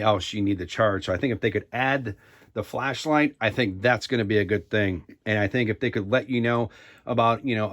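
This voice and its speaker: A man's voice: lowish voice, no echo. A man giving a casual, rambling, conversational product review to camera, circling back over his points.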